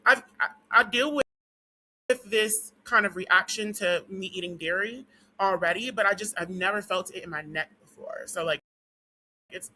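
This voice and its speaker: Woman vlogger, hoarse, losing her voice; very bright, tense tone; rambling, profane delivery. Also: fairly low pitch, a little hiss or hum, low-quality recording. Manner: rambling and profane, with frequent "um" and "what do you call it". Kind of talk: casual rant to camera.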